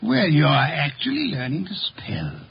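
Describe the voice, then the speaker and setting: Grumpy snooty genius detective, creaky voice, muffled old radio show. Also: male voice, fairly high pitch, wildly swooping pitch, measured pace, exaggerated voice. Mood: irritated. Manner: haughty, dry, formal diction. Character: irritable, pompous, corpulent genius detective who raises orchids. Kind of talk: 1950s American radio drama acting. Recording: muffled, noisy 1950s radio recording.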